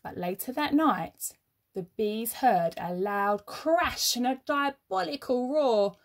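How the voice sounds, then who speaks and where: Woman, children's story narration, expressive, unhurried pace, fairly high voice, emphatic pauses. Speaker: teacher reading a story to pupils.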